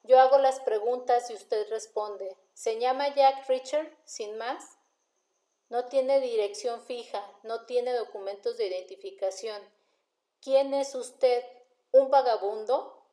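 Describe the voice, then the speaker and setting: A woman's voice: a little high, slow, warm tone. A woman voicing a stern, patient male police detective in an audiobook, questioning formally with cool sarcasm. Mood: stern, insistent. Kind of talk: audiobook character dialogue.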